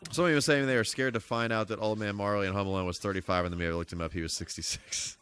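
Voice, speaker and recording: A man's voice: fairly low pitch, fairly even intonation, rapid-fire, a bit bright, smooth. A man live streaming a video game, chatting casually with viewers and throwing in playful exclamations and sing-song asides. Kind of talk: casual live gaming commentary and chat with viewers. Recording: music behind. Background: music.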